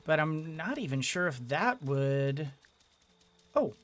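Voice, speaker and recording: A gamer giving casual commentary on a video game in a halting way, thinking aloud and repeating words. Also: mid-range voice, big pitch swings. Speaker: gamer, let's-play host. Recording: clearly noisy background.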